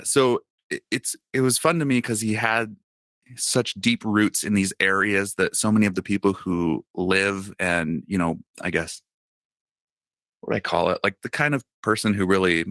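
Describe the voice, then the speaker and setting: A man's voice: sits low, measured pace, a bit bright, no echo. A man co-hosting a podcast in casual chat, rambling with lots of fillers and restarts. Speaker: podcast co-host.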